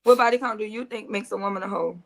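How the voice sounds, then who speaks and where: A woman's voice: medium pitch, quick pace, vocal fry. A woman on a casual podcast panel, speaking bluntly and in slang as she debates.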